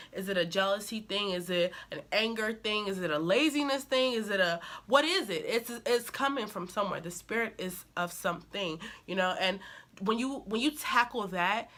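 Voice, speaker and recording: A young woman talks to her viewers, nasal and a little stuffy from a cold she has just shaken. Her voice is bright and forceful, and she sounds earnest and encouraging, with a preachy edge. Her pitch sits in the middle range for a woman. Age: young adult. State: recovering from a cold. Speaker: vlogger. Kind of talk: casual advice talk to camera.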